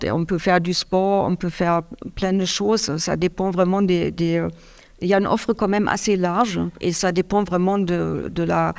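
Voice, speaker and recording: A middle-aged woman from Germany speaking French with a German accent, giving a hesitant, halting caregiver's testimony with many restarts. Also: on the low side, gravelly, a little mellow. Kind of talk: public testimony interview.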